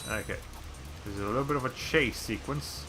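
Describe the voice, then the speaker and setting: A male streamer with a raspy voice giving casual, rambling commentary while playing a game, often restarting his sentences. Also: mid-range voice, fairly quick, over music. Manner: rambling, chatty, frequent restarts.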